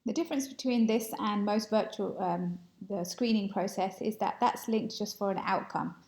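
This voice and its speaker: A woman in her thirties walking through a software demo, explaining with frequent ums and uhs, recorded on a laptop webcam at home. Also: mid-range voice, moderate pace, creaky. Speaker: product presenter.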